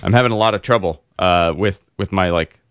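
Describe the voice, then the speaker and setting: A man hosting a casual tech podcast, hoarse and congested, telling long rambling stories full of fillers. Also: low-pitched, fairly quick, no room sound, clean studio sound. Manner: rambling, animated storyteller with many fillers. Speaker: host.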